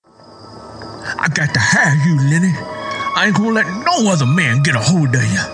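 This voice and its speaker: A man's voice: on the high side, very animated, normal speed. A man playing a possessive, menacing Southern suitor in an audio drama, insistent and emphatic. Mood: threatening. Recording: music behind the voice. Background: music.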